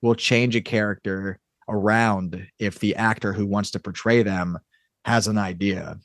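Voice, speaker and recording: Low-pitched man explaining creative decisions. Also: fairly even intonation, a little slow, fairly bright tone, no echo, clean studio sound. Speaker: audio drama creator and guest. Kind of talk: casual explanatory interview answers.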